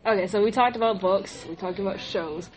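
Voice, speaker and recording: A woman's voice: mid-range voice, brisk, background noise. A young woman hosting a casual podcast chat with friends in a library room, laughing often and bossing the others playfully. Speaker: podcast host.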